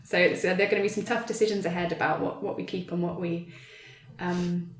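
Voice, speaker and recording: A woman's voice: lowish voice, flat delivery, brisk. Woman reflecting in an echoey room. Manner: reflective, hesitant, choosing words carefully. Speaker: writer adapting an audio drama for stage. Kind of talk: thoughtful conversational interview talk. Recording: roomy, echoey sound.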